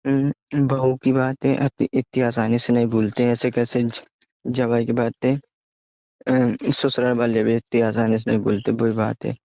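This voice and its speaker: A man's voice: medium pitch, level tone, moderate pace, gravelly, dark tone, no echo. A young man chatting casually on a private phone call, hesitant and often stammering over repeated words. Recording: dull, dry lo-fi call recording.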